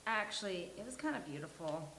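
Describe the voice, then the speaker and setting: A woman playing a frank, dramatic, neurotic sitcom woman at a table read, speaking with deliberate emphasis. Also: medium pitch, expressive, fairly bright tone. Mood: resigned. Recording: hissy, roomy live recording.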